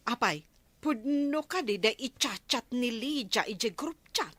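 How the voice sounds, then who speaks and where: A woman playing a nosy, easily awed village neighbor in an animated radio comedy style, exclamatory, with an Ilocano accent. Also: on the high side, a little slow, dry recording. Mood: curious. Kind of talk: radio comedy drama acting.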